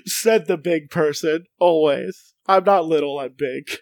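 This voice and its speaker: A man's voice: fairly high pitch, measured pace, dry recording. A man co-hosting a podcast in casual, playful chat, rambling with many fillers. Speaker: podcast co-host.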